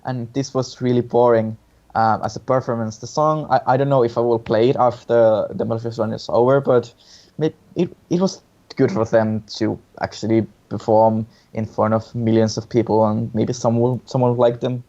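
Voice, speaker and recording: A man's voice: medium pitch, fairly even intonation, moderate pace, warm tone. A man co-hosting a casual review chat, giving opinions hesitantly and often repeating his words. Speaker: co-host reviewing song contest entries. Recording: dull lo-fi sound.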